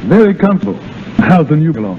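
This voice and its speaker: An actor playing a genial, tactful gentleman doctor in a 1930s radio drama. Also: fairly high pitch, very animated, fast, vocal fry. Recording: tinny, noisy 1930s radio recording.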